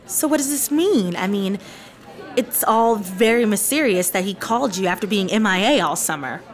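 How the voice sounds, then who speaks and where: A woman playing a curious, opinionated, teasing teenage prep school girl in a radio drama, with an expressive, gossipy, sarcastic delivery. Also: medium pitch, normal speed, a faint crowd, close to an everyday voice. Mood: prying, insistent.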